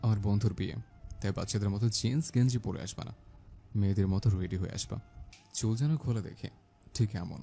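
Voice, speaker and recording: A man's voice: fairly low pitch, brisk, gravelly, dark tone. A man playing a teasing, bossy young teacher and suitor in a romantic audio story, with an intimate, commanding manner. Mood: softly commanding. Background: background music.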